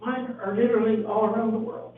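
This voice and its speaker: Hoarse older woman, faint tinny hall audio. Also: on the high side, flat delivery. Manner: halting, earnest, many restarts. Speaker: resident speaking at a town council meeting. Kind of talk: public comment at a meeting. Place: town council chamber. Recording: tinny, echoey hall audio from a distant mic.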